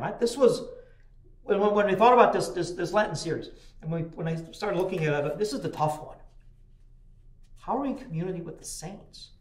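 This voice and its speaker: A man's voice: high voice, roomy sound. An older man preaching a midweek sermon in a small church, telling folksy stories with frequent restarts. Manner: folksy storytelling with repeated restarts. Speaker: pastor. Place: small church chapel, indoors.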